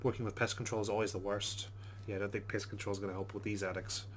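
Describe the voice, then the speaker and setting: Guy doing a lets play, lowish voice, casual commentary and reading text out, bit of hum and noise. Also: flat delivery, fast. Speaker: let's play host.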